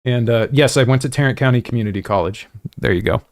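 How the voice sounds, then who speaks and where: An American man talks to his livestream viewers in a loose, rambling style full of slang. His pitch sits in an ordinary middle range for a man, and he is self-deprecating as he goes. The sound is dry and close, with very little room in it. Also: fast, dry recording. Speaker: gaming livestream host. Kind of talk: casual livestream chat to viewers. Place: indoors at a desk.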